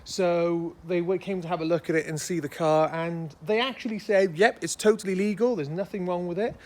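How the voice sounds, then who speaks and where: Hoarse chatty man. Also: sits high, steady tone, a little fast, darkish tone. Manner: chatty, rambling, self-mocking. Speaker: car vlogger. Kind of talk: casual vlog walkthrough.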